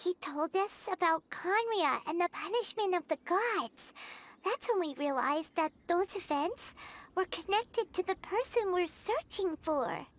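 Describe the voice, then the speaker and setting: A woman voicing a small, excitable female floating companion creature who pleads and talks about herself in the third person. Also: very high-pitched, a little slow, full character voice. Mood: earnest. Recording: game audio with clear background noise.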